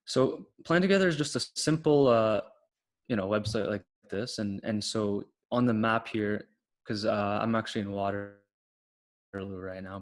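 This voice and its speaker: Male panelist, mid-range voice, eager explainer with plenty of fillers, video-call feel. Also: mid-range voice. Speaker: panelist, platform founder. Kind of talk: online panel discussion.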